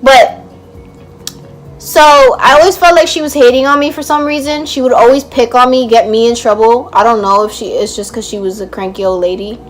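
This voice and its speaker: A woman's voice: a little high, steady tone, a little fast, faint music behind. Chatty young woman with a cracking voice. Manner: chatty storytelling, laughs at own jokes. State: losing her voice, cracking. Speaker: vlogger. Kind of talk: casual chat to camera.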